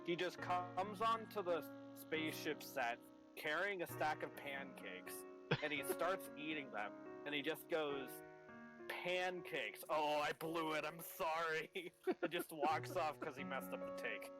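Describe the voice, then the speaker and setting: Male gaming co-host, casual chat, lively intonation, music behind. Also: fairly high pitch, measured pace, rough recording. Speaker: let's play co-host. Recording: background music under the voice.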